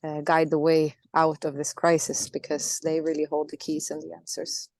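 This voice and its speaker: Soft-spoken woman, thoughtful. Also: sits low, completely flat delivery, no echo. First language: Norwegian. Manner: thoughtful, halting, with many restarts and fillers. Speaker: singer, interview guest. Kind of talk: reflective interview answers.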